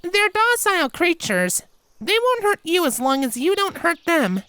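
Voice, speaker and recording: A man voicing a young female magical pony, bookish and academic, speaking in a measured fantasy storybook reading. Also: very high-pitched, very animated, bright, forceful tone, no room sound, voice pushed up, heavily acted. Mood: calm, informative.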